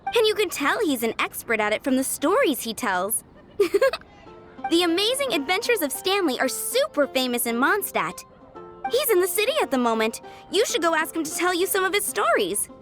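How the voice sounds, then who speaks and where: A woman's voice: very high voice, a bit bright, exaggerated voice. A woman voicing a chipper, chatty young female scout. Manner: bubbly and chatty. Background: game music.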